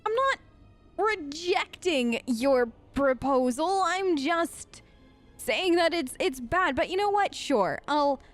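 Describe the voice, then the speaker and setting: A woman's voice: high voice, lively intonation, takes it slowly, soft background music. A woman doing improvised role-play commentary over a video game in a sassy, sarcastic, conversational way. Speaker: gaming video host.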